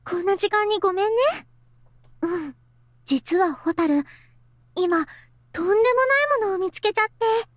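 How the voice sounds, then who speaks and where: A woman voicing a playful, gentle girl character in a visual novel. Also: very high-pitched, fast talker, long pauses, dry recording, studio quality. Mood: casual, confiding. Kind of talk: Japanese visual novel voice acting.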